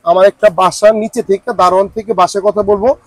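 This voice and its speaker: Male Bangladeshi shop salesman, high husky voice, informal showcase delivery with repeated price patter. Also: steady tone, quick pace, dry recording. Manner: repetitive sales patter, some English words. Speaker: shop salesman presenting products.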